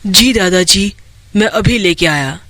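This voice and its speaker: An honest cartoon woodcutter, earnest and respectful, acted out by a storyteller. He speaks in a lowered, bright voice at a measured pace, recorded dry. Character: honest, hardworking woodcutter Mohan, sensible and respectful.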